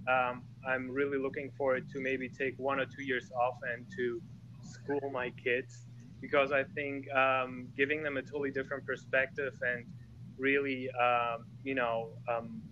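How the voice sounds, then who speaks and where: A man who grew up in Germany, a guest in a casual online group chat, speaking thoughtfully with many pauses and fillers over a video call. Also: medium pitch, sharp tone, highs cut off, slight background noise.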